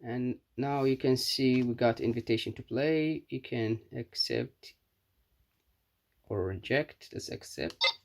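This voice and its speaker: A man's voice: mid-range voice, slow. A man giving a casual, unscripted product demonstration as a reviewer, hesitant and thinking aloud as he goes. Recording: clearly noisy background with clicks.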